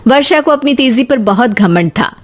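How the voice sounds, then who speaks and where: A woman's voice: fairly high pitch, lively intonation, moderate pace. A woman narrating a scripted moral story as a storyteller.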